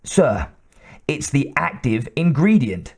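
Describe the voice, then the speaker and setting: Man voicing a nasal, twangy little scientist, stammering, timid, slightly raised pitch. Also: sing-song, takes it slowly, breathy edge, full character voice. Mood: nervous.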